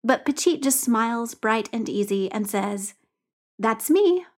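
Woman narrator, fairly high voice, expressive story reading, gentle pace. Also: normal speed.

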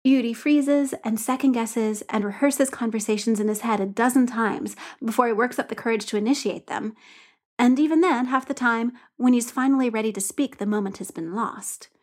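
A woman reading a story aloud in an expressive, gently paced narration. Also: a little high, normal speed.

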